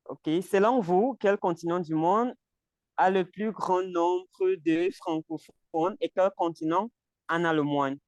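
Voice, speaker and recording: Cameroonian guy on a video call leading french practice, kinda high smooth voice, repeats himself a lot, laughs between bits. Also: a little slow, darkish tone, no room sound, lo-fi sound. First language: English. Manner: hesitant, repeats words, laughs between phrases. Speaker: conversation group leader. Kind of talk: casual language practice chat.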